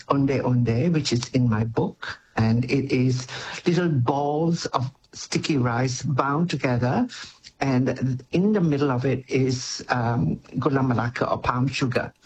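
A man's voice: medium pitch, a little mellow, slight background noise, low-quality recording. A man, a cooking educator and author, talking food on the radio with enthusiasm and frequent laughs. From Malaysia. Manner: enthusiastic, descriptive, laughs often. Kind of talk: radio interview.

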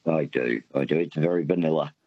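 A man's voice: a bass voice, a little fast, no room sound. Laconic Australian man on a phone line. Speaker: radio caller. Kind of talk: phone-in chat.

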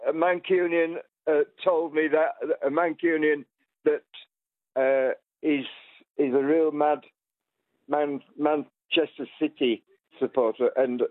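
A man with a northern English accent, speaking haltingly and casually as a caller to a radio show over a phone line. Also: fairly high pitch, fairly even intonation, no room sound. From Leeds, England. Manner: halting, piecemeal delivery. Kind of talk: casual chat. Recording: tinny, narrow phone line.

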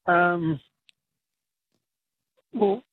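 A man calling in to a radio quiz by phone, hesitant and slow to answer. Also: sits high, dry recording. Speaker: caller. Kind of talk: quiz call on air. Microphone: telephone. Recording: husky phone line.

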